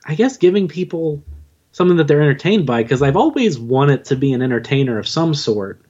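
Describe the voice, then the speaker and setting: A man being interviewed as a podcast guest, chatting casually in a halting, self-deprecating way. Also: a little high, big pitch swings, darkish tone, slightly rough. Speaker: podcast guest, audio drama writer and narrator. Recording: dull, lo-fi audio.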